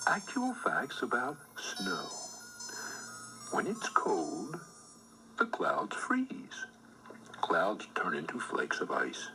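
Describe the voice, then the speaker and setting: Very animated man reading a children's storybook. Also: medium pitch, very slow, long pauses, whispered, over music, poor audio. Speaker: storybook reader.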